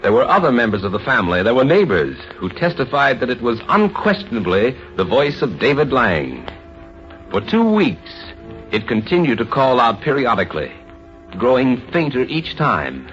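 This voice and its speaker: A man's voice: medium pitch, very animated, fairly bright tone. An American man giving suspenseful scripted narration in the style of a 1950s radio drama. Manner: suspenseful, dramatic, measured storytelling. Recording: dull vintage radio, faint music behind.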